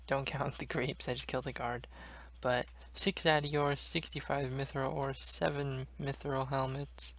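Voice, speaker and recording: A man's voice: medium pitch, level tone, measured pace, vocal fry, hardly any pauses, fairly bright tone. A man giving casual commentary over his own gameplay, chatting directly to his viewers as he plays. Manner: chatty, addresses viewers as guys. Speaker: gaming video creator. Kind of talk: casual let's-play commentary.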